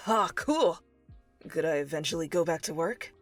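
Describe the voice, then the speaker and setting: A woman's voice: deep voice, lively intonation, normal speed, soft background music, voice pushed down. A woman voicing a troubled young male patient in a story reading, hesitant and casual, brushing things off. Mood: hopeful, uneasy.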